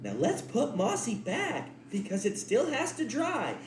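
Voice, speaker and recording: A woman's voice: fairly high pitch, wildly swooping pitch, measured pace. A woman voicing a careful, caring young animal friend in a children's cartoon. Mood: earnest. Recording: roomy sound with faint music.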